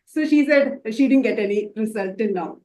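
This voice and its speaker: A woman's voice: on the high side, moderate pace, mellow, echoey room, slight background noise. A woman from Dubai answering interview questions about her makeup work, hesitant and full of fillers and restarts. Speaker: makeup artist student.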